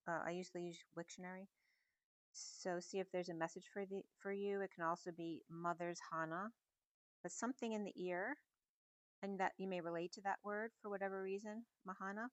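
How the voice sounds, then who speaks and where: A middle-aged woman giving a casual, meandering spiritual reading at home, in a soft and musing way. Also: mid-range voice, no echo. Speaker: spiritual reader. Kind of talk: casual channeled message reading.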